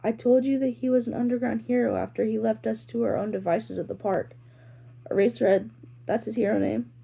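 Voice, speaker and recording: A woman's voice: on the high side, steady tone, fairly quick, gravelly, mellow, husky, highs cut off, voice pushed up. A woman voicing a sullen teenage boy in an amateur fan fiction reading. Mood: explaining. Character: sullen teenage boy, quick to explain, offended.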